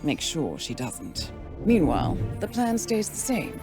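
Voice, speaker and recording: An actress playing a composed, resolute female spy handler in a video game, speaking in a poised, deliberate, articulate way. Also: sits low, huge pitch swings, over music, heavily acted. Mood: businesslike, controlled. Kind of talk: scripted video game briefing and monologue.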